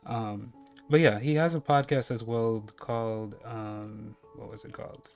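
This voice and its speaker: A man's voice: lowish voice, steady tone, unhurried, darkish tone, faint music behind. A young man from New York with a slight Caribbean accent, chatting casually on a live stream with lots of fillers. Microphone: headset.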